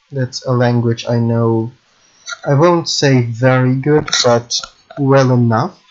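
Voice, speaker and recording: Slow hesitant man. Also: mid-range voice, lo-fi sound. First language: Russian. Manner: hesitant, self-correcting, apologetic. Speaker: hobby game programmer. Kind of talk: thinking aloud while screen recording.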